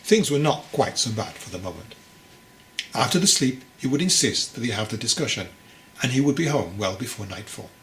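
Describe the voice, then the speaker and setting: A man reading his own book as a measured, expressive storybook narration. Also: mid-range voice. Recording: roomy echo, faint background noise.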